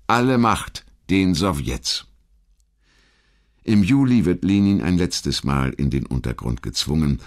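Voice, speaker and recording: Male narrator for a history documentary: low register, measured and articulate scripted storytelling.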